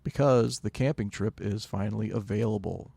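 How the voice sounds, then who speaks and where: A man's voice: normal speed, vocal fry, warm tone, breathy edge, no room sound. A man co-hosting a casual podcast, speaking in a dry, understated way. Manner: dry, understated, low-key. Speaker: podcast co-host.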